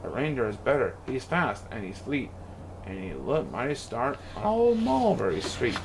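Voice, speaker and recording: Animated man reading a rhyming storybook. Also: medium pitch, normal speed, creaky, background noise. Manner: theatrical, expressive delivery. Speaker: storybook reader. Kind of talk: animated read-aloud of a rhyming children's storybook.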